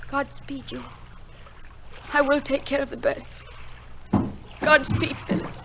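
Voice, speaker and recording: A woman playing an earnest, tender young woman who narrates a remembered story in a radio drama, measured and full of feeling. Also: high voice, little pitch movement, gravelly, heavy background noise, heavily acted. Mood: solemn.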